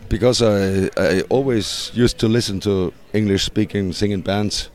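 A man with a German accent, a punk band singer, answering interview questions hesitantly with frequent restarts and soft laughs. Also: lowish voice, steady tone, a little slow.

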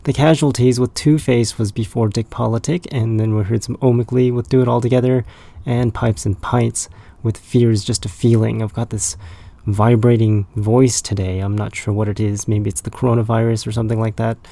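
A man hosting a punk rock radio show, talking in a loose, rambling way with a raspy, slightly hoarse voice. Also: mid-range voice, flat delivery, moderate pace, warm tone, dry recording. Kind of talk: casual radio DJ chat.

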